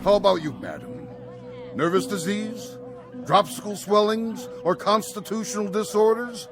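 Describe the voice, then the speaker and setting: A man's voice: sits high, expressive, unhurried, over music. An actor playing a glib travelling medicine salesman with grandiose, theatrical patter in an old TV drama. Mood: smooth persuasion. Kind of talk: old television drama acting, sales spiel.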